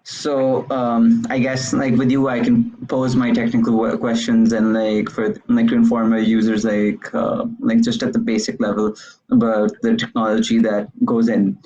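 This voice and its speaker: A man's voice: mid-range voice, completely flat delivery, moderate pace, warm tone. A man who hosts the interview and speaks hesitantly, full of likes and restarts. His voice comes through a dull, muffled line, with faint noise underneath. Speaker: host. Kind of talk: casual interview.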